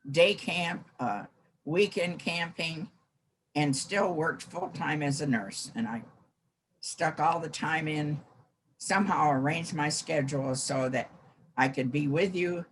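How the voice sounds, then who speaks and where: An 89-year-old American woman reminiscing in a group interview, with halting, deliberate phrasing. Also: low-pitched, noisy background. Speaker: former scout troop helper.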